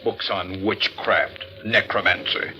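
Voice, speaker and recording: A man's voice: deep voice, steady tone, slow, bright, forceful tone, heavily acted. An actor playing a stern, scornful stepfather in a 1940s radio drama, curt and commanding. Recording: muffled 1940s radio recording.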